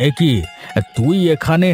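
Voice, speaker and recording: A man's voice: on the high side, slow, darkish tone. A man playing a stingy old grandfather in a Bengali cartoon, scolding and bossy as he grumbles at his household. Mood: suspicious, stern. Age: older. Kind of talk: Bengali moral-story cartoon dubbing. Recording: faint music behind the voice.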